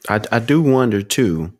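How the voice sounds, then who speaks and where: A man's voice: mid-range voice, a little mellow. A man on a discussion panel, thinking aloud in casual conversational speech. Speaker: podcast panelist. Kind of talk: casual speculative chat.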